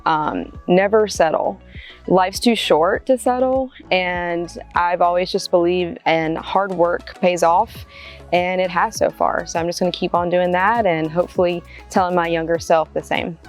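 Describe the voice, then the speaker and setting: Southern woman realtor, chatty and casual. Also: medium pitch. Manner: casual, friendly, many "um"s. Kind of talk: answering interview questions to camera.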